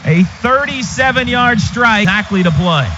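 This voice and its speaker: An American man doing live football play-by-play for radio, in an excitable, clipped running call of each play. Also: high-pitched, moderate pace, over music. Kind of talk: live sports commentary. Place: stadium radio booth. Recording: noisy, dull stadium radio broadcast.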